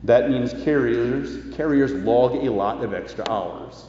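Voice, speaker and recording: Guy giving a sermon, lively up and down voice, big echo, kinda dull recording. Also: medium pitch, slow pace, a little hiss or hum. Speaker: preacher. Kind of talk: sermon, partly reading quoted news aloud. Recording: echoey church hall, slightly dull sound.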